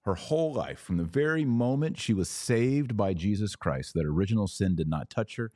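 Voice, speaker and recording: A man's voice: on the low side, animated, moderate pace, mellow, no room sound, studio quality. A man hosting a religious podcast, explaining in an emphatic monologue with rhetorical questions and repeated key words. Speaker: podcast host.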